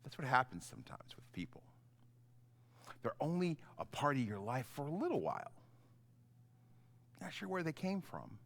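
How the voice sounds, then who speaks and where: Man with very lively, swinging intonation. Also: mid-range voice, vocal fry. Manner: halting, conversational, simple words for young children. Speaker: pastor giving a children's chapel message. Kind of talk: gentle children's sermon, informal storytelling.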